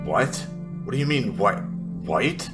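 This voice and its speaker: A man playing a tabletop roleplaying game, casually narrating his character in a hesitant, halting way. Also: fairly low pitch, very animated, loud music behind the voice.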